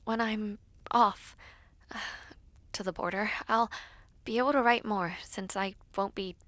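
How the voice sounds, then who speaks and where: A woman performs a brave young female knight in an audio roleplay, reading her letters aloud. Her voice sits at an average pitch and is tender and wistful, with a softly playful streak and some breathiness. The sound is dry and close, free of room reflections. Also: fairly even intonation, measured pace, no echo, lightly acted. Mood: warm, hopeful.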